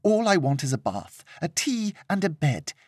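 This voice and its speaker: A haughty, pampered young woman, put on by a man reading the tale aloud, complains in a high, whining voice. Her demands climb sharply in pitch. The sound is close and dry, with no room around it. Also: very animated, normal speed, no echo. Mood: indignant. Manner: whiny, indignant.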